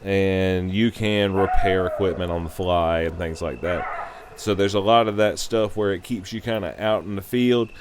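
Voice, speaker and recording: A man giving a casual, rambling game review in a loose, halting, unscripted way. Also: sits low, noisy background.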